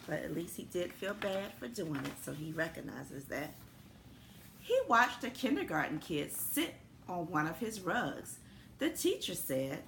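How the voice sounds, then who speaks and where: A woman, an elementary school principal, reading a children's story aloud in a deliberate, expressive way, with simple friendly asides for young listeners. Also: on the low side, vocal fry. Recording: roomy echo with faint background noise.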